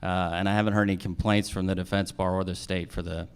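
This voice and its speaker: Clear, lowish-voiced man, plain-spoken. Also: level tone, quick pace. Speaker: judicial candidate at a forum. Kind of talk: political forum answers.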